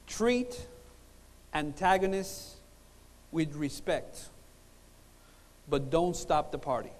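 High-voiced male preacher in a hall. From Puerto Rico, born in Cuba. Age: middle-aged. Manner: animated storytelling, emphatic pauses and repetition. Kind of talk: sermon with humorous personal stories. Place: large conference hall, on stage at a lectern. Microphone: lapel mic. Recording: reverberant hall sound system.

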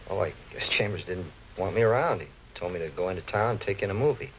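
A man's voice: deep voice, animated, fast, a little hiss or hum. A man playing a defensive chauffeur in a 1940s television crime drama, talking in a casual, streetwise, evasive way. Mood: evasive, nonchalant. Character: slangy, defensive male chauffeur under police questioning. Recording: muffled old film soundtrack.

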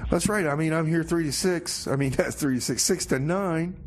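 A man hosting a community radio show with casual comedic patter, speaking in a halting, folksy, mock-earnest way. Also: on the high side, a little hiss or hum.

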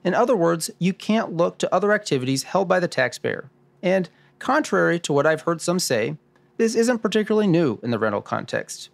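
A man's voice: high voice, big pitch swings, a little fast, gravelly, full character voice. A man playing a wry, wordy tax sleuth who narrates his own thoughts in a noir radio drama pastiche. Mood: expository. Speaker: host and narrator.

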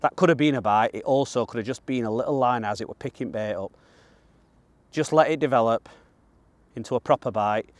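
A man's voice: medium pitch, a little fast, no echo. A man, around his thirties, with a Northern English accent, gives casual, matter-of-fact instructional commentary outdoors while fishing. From northern England. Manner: plain-spoken, colloquial, matter-of-fact. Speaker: angler presenting a fishing guide. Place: outdoors on the bank of a pond.

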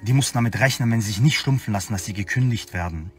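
A man's voice: on the low side, quick pace, soft background music. A man talking into a phone camera from a car, emphatically accusing a company. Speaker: video speaker being reacted to. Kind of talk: direct address to a company.

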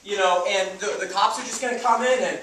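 A man's voice: high-pitched, fairly quick, natural voice. A young man on stage playing an idealistic, pushy would-be farmer in slangy, rambling speech. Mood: nervous, cajoling. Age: young adult. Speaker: stage actor. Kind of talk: live stage comedy-drama acting. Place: indoor theatre stage. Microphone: distant audience camera. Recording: echoey theatre stage from far off, noisy.

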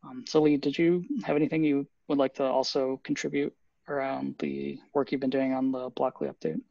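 A man, a software developer, explaining technical updates at a team meeting on a video call, thoughtful and full of fillers. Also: mid-range voice, level tone, a little fast, creaky, no room sound. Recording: dull lo-fi video call audio.

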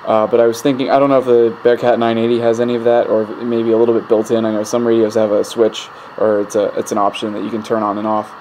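A man's voice: fairly low pitch, fast talker, few pauses, rough recording. A man giving a casual technical explanation, thinking aloud in a hesitant, rambling way at a workbench. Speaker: host of an electronics hobby channel. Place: indoor electronics workbench. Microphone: camera mic behind the lens. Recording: steady static noise, handheld camera mic. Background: radio static from a speaker.

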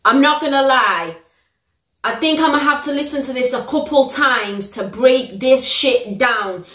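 A young woman with a British accent, hosting a reaction video in a living room, telling stories in an animated, slangy, exclamatory way. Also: high-pitched, a little slow. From United Kingdom. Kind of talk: casual reaction vlog. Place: living room, sitting on a sofa. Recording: roomy living-room echo.

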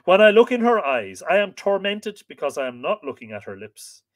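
A man's voice: high-pitched, big pitch swings, moderate pace, pitched above the performer's own voice. Man playing a fervent, lovesick warrior chief. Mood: tormented yearning. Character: lovesick male warrior chief, passionate and impatient.

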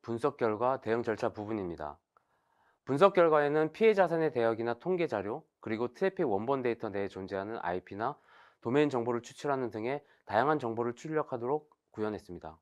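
A man delivers a scripted technical presentation in a measured, formal manner. His voice sits at an average pitch but moves up and down noticeably, stepping through each point as an explainer would. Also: dull audio.

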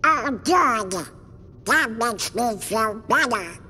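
An actor voicing an anxious little cartoon duck in a video game, with a squawky, worried way of talking. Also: male voice, very high voice, animated, slow, pushed and bright, breathy edge, long reverb tail, full character voice.

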